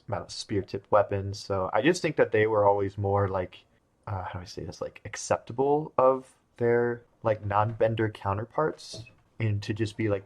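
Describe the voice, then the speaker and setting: A man hosting a casual group discussion, thinking aloud with lots of fillers. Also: lowish voice. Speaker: podcast host.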